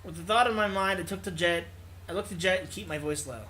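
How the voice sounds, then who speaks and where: A man's voice: sits high, fast talker, sharp tone, slight background noise. A man reading visual novel text aloud as a let's player, stumbling over words and correcting himself, with casual asides. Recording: music playing behind the voice.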